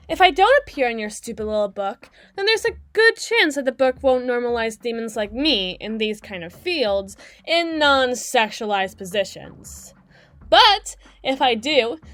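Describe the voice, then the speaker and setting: A woman's voice: high-pitched, a bit bright, heavily acted. A woman playing a bitter, snarky demon club DJ in an ASMR roleplay audio drama, with a biting, exasperated, foul-mouthed delivery. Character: snarky, crass, fast-talking female demon club DJ, bitter and defensive.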